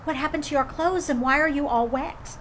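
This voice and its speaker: A woman's voice: high-pitched, brisk, fairly bright tone, slight background noise, pitched above the performer's own voice. A woman voicing a kind, polite, eager young boy in a children's folktale. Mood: loud, curious.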